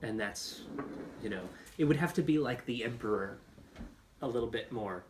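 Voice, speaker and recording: A man casually discussing a story, thinking aloud in halting, restarted phrases. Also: medium pitch. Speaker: writer at an audio comedy script session. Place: indoor room, writing session. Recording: echoey room with faint noise.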